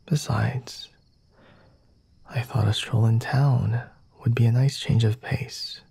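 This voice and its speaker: A man voicing a flirty, refined vampire master in an ASMR roleplay, speaking in a formal, teasing, deliberate way with a husky, breathy, often whispered delivery. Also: mid-range voice, steady tone, warm tone, no echo, heavily acted. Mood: smooth, teasing. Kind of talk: ASMR roleplay, addressing the listener.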